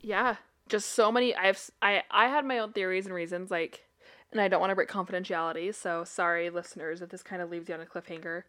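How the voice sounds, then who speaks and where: A woman's voice: on the low side, fairly even intonation, fast talker, fairly bright tone. A woman who is a licensed therapist and a podcast co-host, talking in a blunt, casual way with swearing and frequent laughter. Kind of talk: casual chat.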